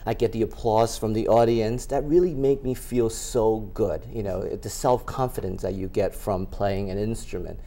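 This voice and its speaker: This is a man from Taiwan, middle-aged, founder of a music school, talking to a television interviewer. His voice is dark and mellow. He gets there in halting phrases, full of fillers and restarts. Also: fairly low pitch, fairly even intonation. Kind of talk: TV interview guest.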